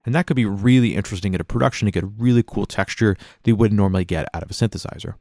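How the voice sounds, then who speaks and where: A man with a fairly low voice walks through a piece of software like an instructor. He talks very quickly, in a conversational, explanatory way. The sound is very dry, like a close voice-over mic with no room around it. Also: no echo, faint music behind. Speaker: music production tutorial instructor. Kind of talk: casual software tutorial narration.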